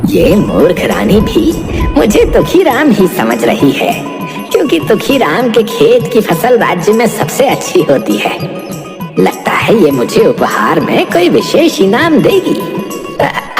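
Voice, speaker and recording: A woman's voice: mid-range voice, huge pitch swings, measured pace, over music. Woman as a whiny, swooping lazy village schemer. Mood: gleeful scheming. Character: lazy, envious, scheming village man, whiny and self-pitying.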